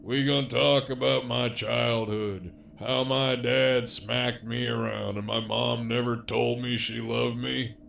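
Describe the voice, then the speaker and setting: A man's voice: medium pitch, little pitch movement, takes it slowly, vocal fry, few pauses, a bit bright, a little hiss or hum, exaggerated voice. A man playing a sardonic, foul-mouthed jailed villain in an audio drama, with a wry, sarcastic drawl. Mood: mocking.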